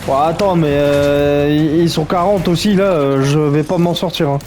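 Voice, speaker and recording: A man's voice: fairly high pitch, fairly even intonation, moderate pace, noisy background. A man commenting on a game as he plays, in a chatty, joking, reactive way. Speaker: gaming streamer. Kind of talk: let's play commentary.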